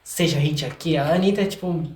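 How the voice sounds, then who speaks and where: A young Brazilian man chatting casually as an interview guest, with a playful, expressive manner and words repeated in quick bursts. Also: fairly high pitch. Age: young adult. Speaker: podcast guest, singer-songwriter. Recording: echoey room sound.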